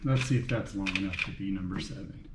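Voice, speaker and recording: A man's voice: deep voice, fast. Man muttering to himself in a roomy echo. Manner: muttering, thinking aloud in short fragments. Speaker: car mechanic making a repair video. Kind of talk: unscripted talking to himself while working on an engine. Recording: roomy echo, light background noise.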